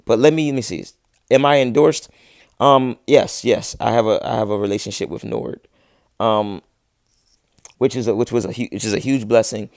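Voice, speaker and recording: Laid-back man with a gravelly voice. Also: medium pitch, fairly even intonation, normal speed, darkish tone, dry recording, clean studio sound. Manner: laid-back slangy talk, frequent restarts. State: losing his voice. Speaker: livestream host, musician. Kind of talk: casual livestream chat answering viewers.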